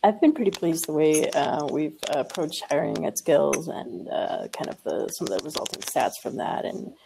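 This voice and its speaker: A woman speaking as an engineering executive on a conference panel, with halting, hesitant phrasing. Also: sits low, big pitch swings, vocal fry, background noise.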